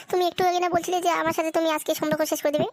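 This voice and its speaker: A performer voicing a giggly, wheedling gold-digger mistress in an amateur comic cartoon, with artificially raised pitch. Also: little pitch movement, very fast, heavy background noise. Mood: flirty. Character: giggly, coaxing, gold-digging mistress, flirty and sly.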